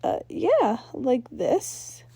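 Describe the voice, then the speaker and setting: A brash teenage ghost girl with a rock-star swagger, acted out by a woman reading a story. She is blunt, slangy and teasing. The voice is high and soft-edged, recorded close and dry. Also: big pitch swings, drawn-out words, creaky, husky, dry recording, heavily acted. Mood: incredulous.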